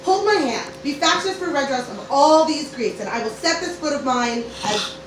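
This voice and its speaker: A woman's voice: high-pitched, expressive, normal speed. A woman playing a bold, loyal teenage cartoon granddaughter plotting in a mock-Shakespearean amateur radio play. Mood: resolute. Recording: roomy, distant, noisy amateur group recording.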